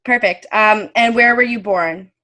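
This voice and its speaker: A woman's voice: medium pitch, a little slow, bright, forceful tone. A woman hosting an interview, asking questions in a casual, friendly way with frequent ums. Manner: friendly, with fillers and laughs. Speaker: host.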